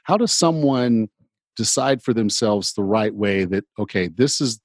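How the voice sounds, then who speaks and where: A middle-aged male podcast host with a fairly low voice, recorded dry and close. He repeats words and restarts as he works his way toward a question. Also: lively intonation, dry recording. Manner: halting, repeats words while searching for phrasing. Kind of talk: casual interview talk.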